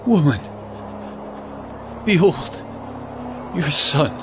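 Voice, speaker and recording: Slow, solemn, suffering holy man. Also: on the high side, big pitch swings, noisy background, exaggerated voice. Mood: suffering, tender. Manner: solemn, deliberate. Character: calm, suffering holy man facing execution. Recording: music bed under the voice.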